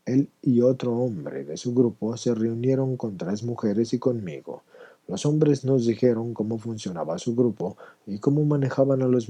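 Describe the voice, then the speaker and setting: A man's voice: mid-range voice, warm tone, husky. A man reading a written personal recovery story aloud as a narrator. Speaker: reader of a written testimony.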